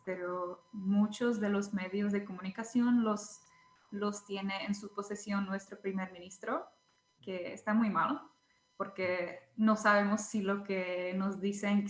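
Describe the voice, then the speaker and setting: Woman, Czech accent, young adult, hesitant interview guest, echoey room. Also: mid-range voice. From Czech Republic. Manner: hesitant, searching for words, frequent fillers. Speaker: guest, teacher and blogger. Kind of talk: casual interview answers.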